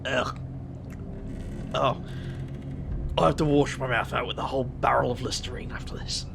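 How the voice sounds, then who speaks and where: Man acting a very gravelly, sardonic rogue. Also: mid-range voice, little pitch movement, moderate pace, background noise. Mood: playful, sardonic. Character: roguish, sardonic supernatural man with dark secrets. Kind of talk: audio drama acting.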